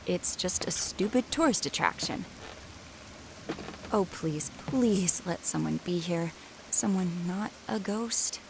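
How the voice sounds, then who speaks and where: A woman's voice: on the low side, slow pace, gravelly, noisy background. A woman playing a skittish, sardonic young college student in an audio drama, casual and hesitant, often muttering to herself. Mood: nervous muttering. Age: young adult. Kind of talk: naturalistic audio drama acting.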